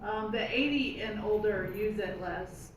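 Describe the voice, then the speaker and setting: A woman's voice: medium pitch, a little slow. Woman chiming in at a meeting. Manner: brief affirmations, adds points. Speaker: meeting participant. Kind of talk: casual discussion. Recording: roomy, distant, noisy room recording.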